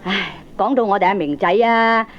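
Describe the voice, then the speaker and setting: A woman playing a sharp-tongued middle-aged gambling wife in a comedy radio drama, scolding sarcastically in Hong Kong Cantonese. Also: medium pitch, moderate pace, a little hiss or hum, close to an everyday voice. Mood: reproachful. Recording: dull old cassette radio drama recording.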